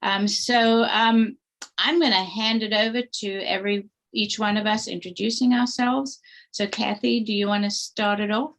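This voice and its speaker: A woman's voice: medium pitch, fairly even intonation, moderate pace. A woman from Zimbabwe hosting a casual video call, passing the turn from person to person. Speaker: host.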